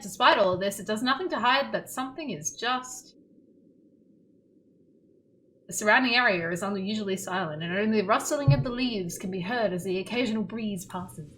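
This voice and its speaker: A woman streamer reading a game's story aloud in an expressive storytelling manner. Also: mid-range voice, a little hiss or hum, rough recording.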